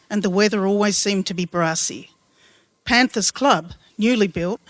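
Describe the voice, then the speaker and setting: A woman's voice: medium pitch, fairly bright tone, dry recording. Australian woman reading a story, dry sound. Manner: measured, literary, descriptive delivery. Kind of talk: live reading of a written true story.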